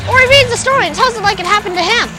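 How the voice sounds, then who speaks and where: A sincere schoolchild, played by a woman, with an extremely high voice. The children's-show lines sit over prominent music, with the distortion of an old VHS transfer. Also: racing, sharp tone. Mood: thoughtful. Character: sincere schoolchild, friend of an alien.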